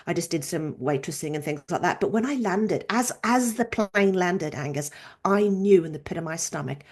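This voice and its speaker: A woman in her fifties from Norway, a chiropractor and researcher telling her story in a casual, animated interview. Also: on the low side, normal speed. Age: 50s. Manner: animated storytelling, acts out quoted voices.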